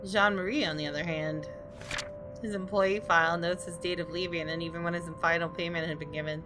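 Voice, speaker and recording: A woman with a slightly low voice narrates a story game aloud. She reads scripted prose expressively, with music clearly audible behind her. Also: fairly even intonation, brisk. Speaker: gaming video host reading the story.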